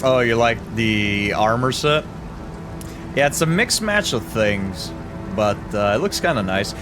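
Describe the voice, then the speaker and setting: A man's voice: mid-range voice, animated, measured pace. A man from Italy streaming a video game, chatting casually and joking with his viewers. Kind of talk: casual gameplay commentary. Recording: game music underneath. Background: music.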